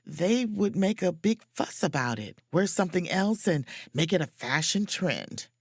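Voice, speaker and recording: A woman playing a confident, sharp-witted, proud and flirtatious sleuth in an audio drama, with an emphatic, theatrical delivery. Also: lowish voice, expressive, unhurried, husky, dry recording, clean studio sound, heavily acted. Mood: musing. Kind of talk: audio drama acting with first-person narration.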